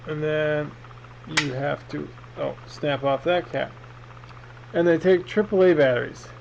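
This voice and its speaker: A man's voice: on the high side, measured pace, darkish tone. A man giving a casual, rambling, hesitant product review in an unboxing vlog, speaking off the cuff. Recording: muffled, with clear background noise.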